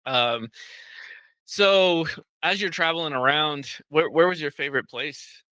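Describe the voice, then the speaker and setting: A man hosts a casual interview with a very bright, somewhat high voice, cracking jokes and laughing often. The sound is dry and close-miked. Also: measured pace, no echo. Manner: jokey, laughs often, says dude. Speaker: podcast host.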